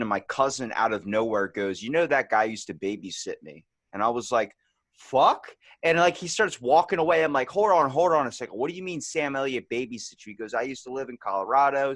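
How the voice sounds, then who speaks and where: Profane, lively man with a Baltimore accent. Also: mid-range voice, fairly quick, no room sound. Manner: loose, profane storytelling with bursts of laughter. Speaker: podcast host. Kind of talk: casual interview chat.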